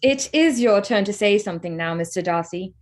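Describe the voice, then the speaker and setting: A woman playing a candid, spirited young gentlewoman in a staged period drama reading, forthright and earnest. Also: medium pitch, lively intonation, brisk, close to an everyday voice. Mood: arch, teasing.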